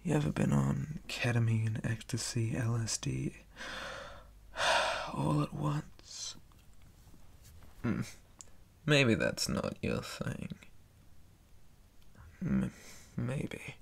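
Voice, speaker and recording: A man playing a deranged, taunting monster boy inmate in an ASMR horror roleplay, with a breathy, whispery rasp and a slow, teasing drawl. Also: mid-range voice, animated, exaggerated voice. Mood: lazy, musing.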